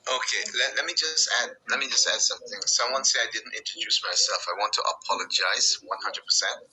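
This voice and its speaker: A man in his sixties from Guyana, speaking on a video call and telling stories in an excitable, friendly way. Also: lowish voice, quick pace, a little hiss or hum, rough recording. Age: 60s. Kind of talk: casual meeting talk.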